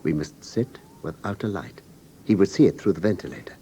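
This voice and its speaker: An actor playing a shrewd, commanding gentleman detective in a British radio drama, speaking in a precise, articulate, authoritative manner with a British accent. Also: deep voice, fairly quick, natural voice. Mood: hushed, commanding. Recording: noisy old radio drama recording.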